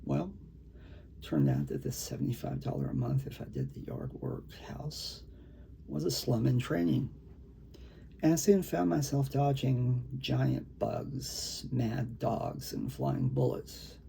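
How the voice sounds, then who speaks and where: A man with a gravelly, creaky voice, a poet talking casually and reading his own work in a halting, conversational way. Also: mid-range voice, wildly swooping pitch, mellow. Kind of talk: casual talk and poetry reading.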